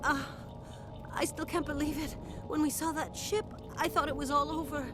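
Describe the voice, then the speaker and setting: A woman's voice: high voice, level tone, normal speed, natural voice. A woman playing an anxious, shaken colonist farmer in a video game, tremulous and frightened. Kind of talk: cinematic sci-fi game dialogue. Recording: music under the voice.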